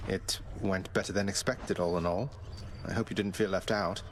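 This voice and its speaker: Man as deep-voiced wry underworld prince. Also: steady tone, normal speed, slight background noise, close to an everyday voice. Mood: wry, polite. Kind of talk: video game character dialogue.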